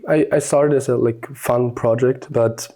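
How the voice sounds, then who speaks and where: A man's voice: mid-range voice, steady tone, unhurried, dark tone. A young man from Austria with an Austrian German accent, a German speaker chatting easily as an interview guest. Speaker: guest influencer. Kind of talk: casual interview chat.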